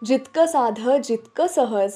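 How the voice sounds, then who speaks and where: A woman radio host with a fairly high voice narrates scripted stories about music. Soft music plays underneath her. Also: animated, slow, husky. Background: background music.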